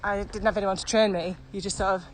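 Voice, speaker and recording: A woman's voice: medium pitch, fairly even intonation, fast talker. British woman, mid-range voice, informal expert guest on a walking podcast. Practical explainer, chatty delivery, light outdoor noise. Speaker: virtual training expert being interviewed. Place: outdoors, walking on a country path. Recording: outdoor ambience, faint background noise.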